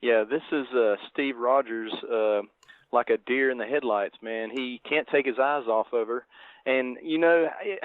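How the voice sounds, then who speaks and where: A man's mid-range voice comes over a narrow, phone-like line with no room sound. He is a podcast co-host discussing a film casually, in a halting, reflective and appreciative manner. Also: medium pitch, no echo. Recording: thin phone-like line, dry.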